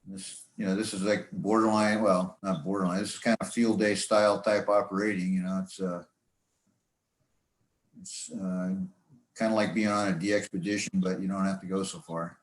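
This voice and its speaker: A man giving an informal club talk about his hobby in a rambling, halting, folksy way. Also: sits low, level tone. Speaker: presenter, amateur radio hobbyist. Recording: echoey room sound.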